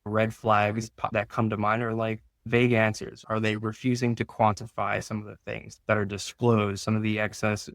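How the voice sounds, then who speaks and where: Male interview guest, finance expert. Informal explainer, lower pitch, very even delivery. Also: moderate pace, hardly any pauses, no echo, studio quality.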